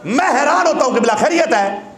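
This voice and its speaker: High-voiced preacher. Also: male voice, lively intonation, brisk, fairly bright tone. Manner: impassioned, switching into recited verse. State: sore throat. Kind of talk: sermon. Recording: echoey hall.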